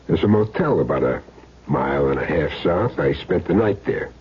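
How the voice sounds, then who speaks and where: An actor playing a cool, wisecracking hired killer in a radio drama, dry and glib. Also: male voice, a bass voice, animated, normal speed, breathy edge, exaggerated voice. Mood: cool, businesslike. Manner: dry, glib, evasive answers. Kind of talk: 1970s radio drama acting. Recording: dull vintage radio broadcast.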